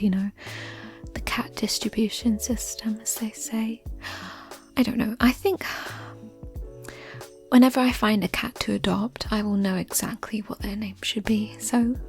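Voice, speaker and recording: A woman speaking in a soft, breathy, often near-whispered voice, chatting gently and ramblingly in an ASMR style. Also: medium pitch. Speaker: ASMR creator answering listener questions. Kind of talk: soft-spoken ASMR chat. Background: music.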